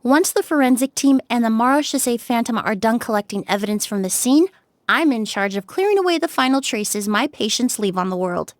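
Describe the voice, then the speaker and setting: An actress playing a calm, thoughtful forensic cleaner in a video game, speaking in a measured way. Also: female voice, a little high, no echo, natural voice. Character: calm, poised female forensic cleaner and perfumer.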